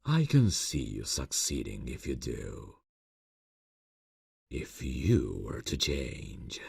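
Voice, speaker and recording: Ancient male vampire boss, heavy vocal fry, breathy husk, courtly, silky menace, fantasy ASMR roleplay. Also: darkish tone, no room sound, studio quality, full character voice. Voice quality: very deep, gravelly, breathy. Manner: slow, formal, silky, deliberate. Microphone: close microphone, dry sound.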